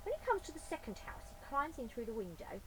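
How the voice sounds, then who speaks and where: A woman's voice: high voice, big pitch swings, fast. A woman speaking informally and expressively as a storyteller for children, recorded inside a greenhouse. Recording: clear ambient background noise.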